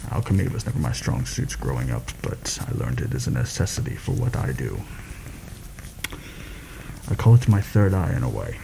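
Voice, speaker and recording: Man playing a very deep, gravelly soft-spoken schemer. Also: very animated, noisy background, heavily acted. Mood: conspiratorial, measured. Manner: deliberate, confiding, slightly formal. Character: shrewd, secretive male mercenary plotting a royal assassination. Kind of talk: soft-spoken ASMR roleplay.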